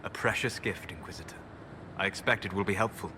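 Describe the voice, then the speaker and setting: A man playing a calm, erudite mage companion in a video game, measured and thoughtful. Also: low-pitched, noisy background, close to an everyday voice. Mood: intrigued. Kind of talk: video game dialogue acting.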